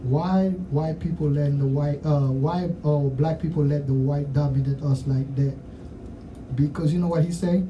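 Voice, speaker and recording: A man's voice: on the high side, steady tone, moderate pace, far from the mic, lo-fi sound. A man with a Haitian accent interviewing musicians in casual, rambling chat, full of "you know what I'm saying", recorded off-mic with faint noise behind.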